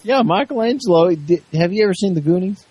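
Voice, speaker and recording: A man in his mid-thirties hosts a loose radio talk show. His voice is slightly husky and swings lively up and down as he tells stories. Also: high-pitched, fast talker, dry recording. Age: 30s. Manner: animated storytelling. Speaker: host. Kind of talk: casual radio banter.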